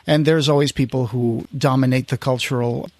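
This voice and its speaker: A man hosting a podcast in casual conversation, feeling his way haltingly through his sentences. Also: mid-range voice, fairly even intonation, a little mellow, no room sound. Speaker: podcast host.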